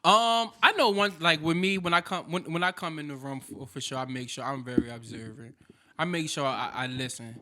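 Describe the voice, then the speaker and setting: Male podcast host, light voice, bright and forceful, chatty rambling delivery. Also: mid-range voice, brisk. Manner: loose and chatty, with many fillers and restarts. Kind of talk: casual podcast chat.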